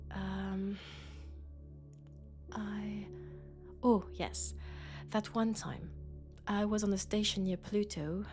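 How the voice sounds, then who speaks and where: A woman with a French accent, sick with a cold, playing a gentle, sociable traveling doctor from Mars in an intimate sci-fi audio roleplay, chatty and soft. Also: mid-range voice. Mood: chatty, amused. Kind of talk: intimate sci-fi roleplay acting, one-sided conversation.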